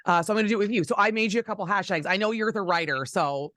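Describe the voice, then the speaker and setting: A radio host with a mid-range voice and a very dry, close sound. The delivery is chatty and informal, gushing with enthusiasm like a relaxed interview between acquaintances. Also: medium pitch, very fast, no room sound.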